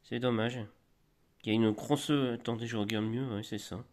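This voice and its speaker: A man in a mid-pitched voice rambles through a casual show-and-tell of flea-market toys. He's a little stuffed up from a cold. He stops and restarts his sentences often as he goes. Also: steady tone, a little mellow. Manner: rambling, halting, many restarts. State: slightly congested from a cold. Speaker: collector vlogger.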